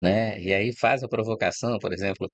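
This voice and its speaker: Brief: middle-aged Brazilian man, low voice, dry close recording, relaxed explainer who keeps checking the listener follows. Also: a little fast, no room sound, dull audio. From Brazil, born in Rio de Janeiro. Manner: reflective, explanatory, frequent "né?" and "tá?" tags. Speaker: host of a channel about food and agribusiness. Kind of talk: informal spoken talk to camera.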